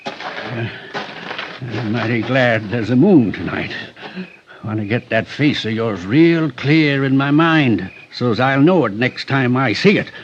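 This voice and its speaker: A man's voice: mid-range voice, slow, close to an everyday voice. An actor playing a plucky, indignant rustic man with a rural American accent and folksy drawl, in a 1950s radio drama. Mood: defiant, angry. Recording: dull, noisy old radio recording.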